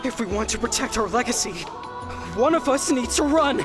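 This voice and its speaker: A woman plays a timid, panicky young priestess for a game. The voice is fairly high and animated, ringing with reverb. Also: a little fast, close to an everyday voice. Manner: fretful. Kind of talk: video game voice acting. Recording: long reverb, faint music behind.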